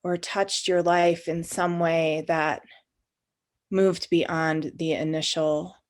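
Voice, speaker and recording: A woman's voice: deep voice, flat delivery, slow pace. A woman leading a guided meditation in an informal, conversational and inviting way, as the guide of the session.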